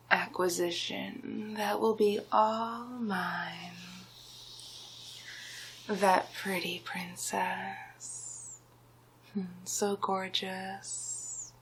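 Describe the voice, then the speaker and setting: A woman voicing a scheming, domineering male sorcerer villain in a slow, breathy, often whispered ASMR roleplay. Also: mid-range voice, a little hiss or hum. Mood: smug, covetous. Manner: slow, drawn-out, menacing, hypnotic.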